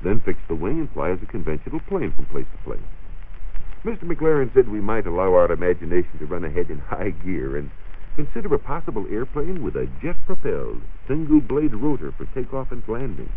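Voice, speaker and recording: Deep, husky 1940s American radio man. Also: sing-song, fairly quick. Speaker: science reporter. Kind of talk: 1940s radio science talk, semi-scripted. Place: radio studio. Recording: muffled, narrow-band 1940s radio recording.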